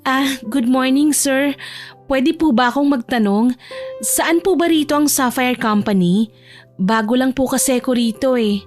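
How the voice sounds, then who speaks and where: A woman's voice: high voice, a little slow, soft background music. A woman voicing a shy, hesitant young provincial woman in an audio romance drama, polite and unsure. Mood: polite, timid. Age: young adult.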